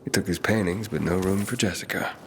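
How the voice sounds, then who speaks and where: A man's voice: low-pitched, fairly quick, a little hiss or hum. An actor playing a casual, wry male scavenger in a video game. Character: young male scavenger explorer, casual, wry, rough-edged.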